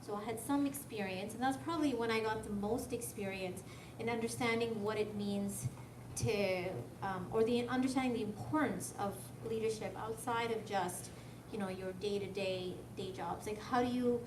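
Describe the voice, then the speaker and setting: A soft-spoken woman from Canada giving an informal lecture in a hesitant, conversational way, often posing questions. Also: mid-range voice, fairly even intonation, moderate pace. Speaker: guest speaker, software development manager. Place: lecture room at a tech school. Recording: echoey room, distant mic, noisy background.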